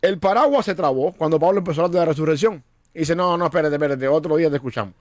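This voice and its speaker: A man's voice: fairly high pitch, racing, no echo, clean studio sound. A man, a pastor born in Cuba, explaining scripture in an earnest conversational way. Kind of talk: conversational preaching on a podcast.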